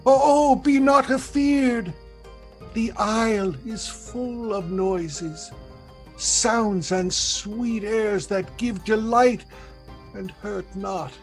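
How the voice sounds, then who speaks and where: A man acts out a servile monster of the island, full of childlike wonder. He speaks very slowly and haltingly in dreamy verse, with music beneath, as in a Shakespearean audio play. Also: high-pitched, heavily acted. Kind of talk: Shakespearean radio play acting.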